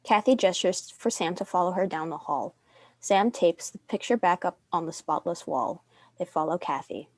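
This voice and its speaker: A woman's voice: fairly low pitch, expressive, normal speed, a little mellow, dry recording. A young woman reading stage directions as the narrator of a dramatic script. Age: young adult.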